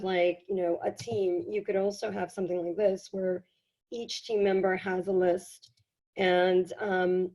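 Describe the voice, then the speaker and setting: A woman giving a casual software tutorial, explaining in a halting, conversational way, slightly hoarse from a cold. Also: medium pitch, low-quality recording. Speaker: workshop presenter. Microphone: video call.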